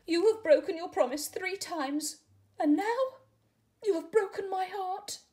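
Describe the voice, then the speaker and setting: A woman's voice: very high voice, unhurried, dark tone, voice pushed up. A woman voicing a tender, hurt caterpillar girl. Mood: heartbroken. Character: tender young female caterpillar, hurt and heartbroken.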